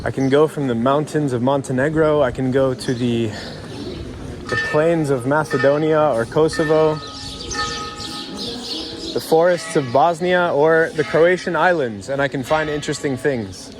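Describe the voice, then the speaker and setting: A man's voice: fairly high pitch, normal speed, over music. A man vlogging in a chatty, easygoing way while walking through old town streets outdoors, talking to the camera with plenty of fillers. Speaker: travel vlogger.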